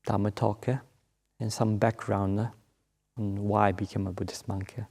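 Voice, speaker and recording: A Buddhist monk from Malaysia gives a slow, gentle Dharma talk in English, his Malaysian accent clear. His voice sits low, with a dark, mellow tone and a gravelly edge. He pauses often on small filler sounds. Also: little pitch movement. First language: not English. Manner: halting, many filler sounds; gentle, unhurried teaching.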